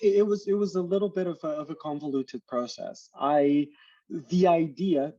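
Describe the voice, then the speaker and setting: A man's voice: medium pitch, darkish tone, no room sound. Man from Portugal on a dull distant call. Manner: thoughtful, many restarts and repeated words. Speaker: startup founder guest. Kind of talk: interview answers.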